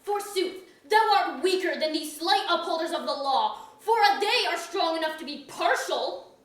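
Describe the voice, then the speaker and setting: Woman acting a very high, defiant wronged commoner. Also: animated, moderate pace. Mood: bitter defiance. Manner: declamatory, impassioned. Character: aggrieved, defiant commoner pleading, then cursing the crown. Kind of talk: staged reading of pseudo-Elizabethan verse drama. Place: museum auditorium, live reading. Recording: echoey auditorium, distant mic.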